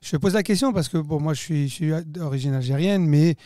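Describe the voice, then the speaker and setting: A man's voice: a little high, a little fast, mellow, no echo, clean studio sound. A man hosting a casual talk show who grew up in France, asking curious and joking questions. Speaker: host. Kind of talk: casual interview chat.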